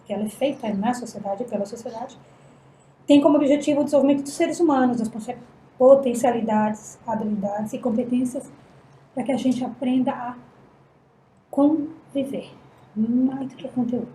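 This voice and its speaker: Brazilian woman psychologist, mellow voice. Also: on the high side, normal speed. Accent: Brazilian Portuguese. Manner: thoughtful, often adds "né?". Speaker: psychologist guest on a panel podcast. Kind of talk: reflective panel discussion. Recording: echoey room, faint ambient noise.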